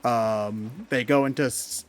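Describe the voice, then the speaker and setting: A man's voice: mid-range voice, slow. A man giving casual video game commentary, talking in a hesitant, rambling way. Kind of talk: casual gameplay commentary chat.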